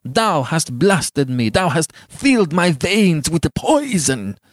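A man's voice: fairly low pitch, big pitch swings, slow, no echo, studio quality, heavily acted. A man playing a passionate, bitter young man in a storybook, declaiming with venomous scorn in archaic language. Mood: furious hatred.

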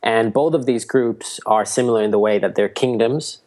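A man, a guest in a podcast interview, explaining things in a steady way. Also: mid-range voice, moderate pace. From Finland. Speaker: guest, mushroom food entrepreneur. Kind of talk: casual interview talk.

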